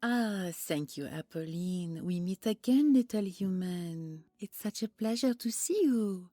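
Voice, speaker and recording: A woman's voice: fairly low pitch, animated, unhurried, warm tone, no echo, clean studio sound. A woman voicing a regal griffin queen in an audio roleplay, purring, teasing and condescending in mock sweetness. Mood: teasing, syrupy.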